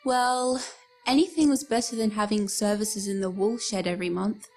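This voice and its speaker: A woman's voice: mid-range voice, steady tone, normal speed, faint music behind, natural voice. An actress playing a vain, outspoken country woman of the 1910s in a radio play, prim and opinionated. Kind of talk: nostalgic radio play acting.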